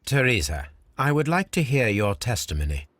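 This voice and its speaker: A man voicing a composed, probing Victorian gentleman detective with an English accent and a formal, courteous, measured delivery in a video game. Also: medium pitch, very animated, no echo. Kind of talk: video game cutscene acting.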